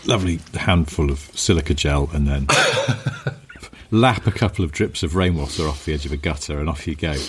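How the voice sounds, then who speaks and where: A man's voice: very deep voice, moderate pace, a little hiss or hum. A man co-hosting a podcast in casual, joking chat while sniffly with a cold. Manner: jokey, animated. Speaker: podcast co-host.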